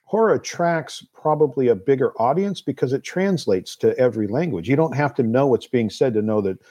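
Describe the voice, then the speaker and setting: A man guesting on a talk show as a film producer. He speaks casually at an average pitch, choosing his words in a halting, thoughtful manner. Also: animated, dark tone.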